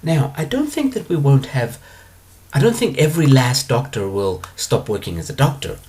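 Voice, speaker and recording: Rich: A man lectures on his spiritual ideas in a solo monologue, calm and explanatory. His pitch rises and falls in a lively way, and he lingers on words before moving on. He sits at a middle pitch for a man. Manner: calm, explanatory, with drawn-out pauses. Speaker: spiritual teacher answering questions.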